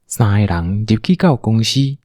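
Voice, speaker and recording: A man's voice: lowish voice, lively intonation, normal speed, a little mellow, no echo, clean studio sound. A man with a Taiwanese accent narrating a scripted audio drama as a storyteller.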